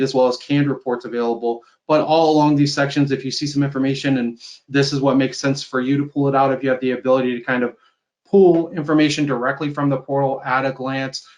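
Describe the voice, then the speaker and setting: A man gives an informal webinar product demo over a video call, explaining things conversationally and often checking in with a tag question. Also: mid-range voice. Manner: explanatory, conversational, tags statements with "right?". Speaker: software company sales executive presenting a webinar.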